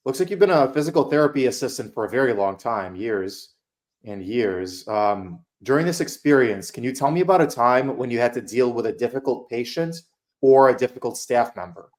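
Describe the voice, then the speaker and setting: A man conducting a mock PA school interview, asking questions in a measured, formal way. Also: mid-range voice, animated, a little mellow.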